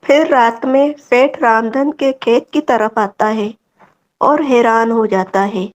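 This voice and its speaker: A woman's voice: on the high side, fairly even intonation, slow pace, highs cut off. A woman narrating a scripted children's story.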